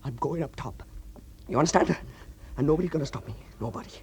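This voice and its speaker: A man's voice: on the high side, quick pace, close to an everyday voice. Guy acting as a miner, defiant then stammering and whispery, faint hiss behind. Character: desperate young male coal miner, emotional. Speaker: actor. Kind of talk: film drama acting.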